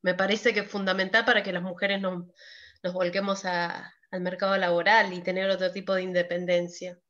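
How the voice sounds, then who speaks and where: A woman's voice: fairly low pitch, little pitch movement, fairly bright tone. Woman asking, muffled call. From Argentina. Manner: hesitant, with pauses and fillers. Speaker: workshop participant. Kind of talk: casual discussion.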